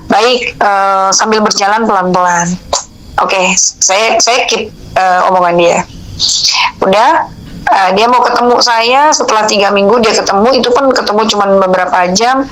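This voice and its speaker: A woman's voice: a little high, low-quality recording. A middle-aged woman, telling a personal story in an interview and acting out the dialogue informally. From Indonesia. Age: 45 or older. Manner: animated retelling, quoting dialogue in informal speech. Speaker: interviewee, religious counsellor.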